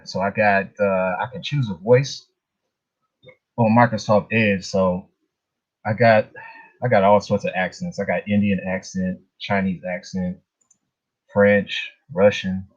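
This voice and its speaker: Low-voiced man on a muffled livestream. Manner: informal, chuckles between remarks. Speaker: livestream host. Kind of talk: casual live chat with viewers. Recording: dull, muffled lo-fi stream audio.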